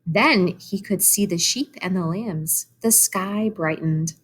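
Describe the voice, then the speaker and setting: A woman reading a children's book aloud like a teacher, animated and explanatory, with asides to young listeners. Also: fairly low pitch, takes it slowly, husky. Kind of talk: expressive storybook read-aloud with asides to children.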